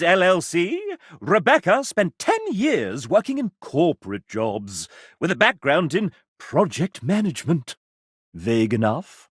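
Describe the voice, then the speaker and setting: An actor playing a flamboyant, formal dating game show host in a video game, with a theatrical, courtly and exaggerated delivery. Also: male voice, fairly high pitch, no echo, studio quality, full character voice. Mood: arch, teasing.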